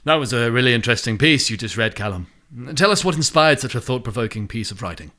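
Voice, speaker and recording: A man's voice: medium pitch, lively intonation, fast, sharp tone. A man doing a polite young male host. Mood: curious.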